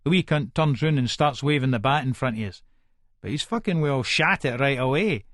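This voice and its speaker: A man's voice: mid-range voice, animated, normal speed, fairly bright tone, no room sound, clean studio sound, natural voice. An actor with an Edinburgh Scottish accent playing a violent, volatile hard man who narrates an audiobook in the first person, sweary and aggressive. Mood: menacing. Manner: aggressive, menacing, sweary, colloquial.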